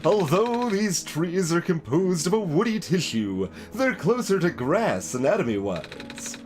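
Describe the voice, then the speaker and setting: A man voicing a gentle talking plant, a botanist in a game, with drawn-out, wistful musing. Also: high-pitched, sing-song, faint music behind, pitched above the performer's own voice. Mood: chatty, informative. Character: gentle plant expert, a talking potted plant in shirt.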